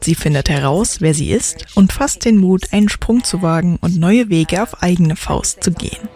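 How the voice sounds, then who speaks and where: A man's voice: high voice, moderate pace, darkish tone, soft background music. A man reading a scripted translation as a voice-over.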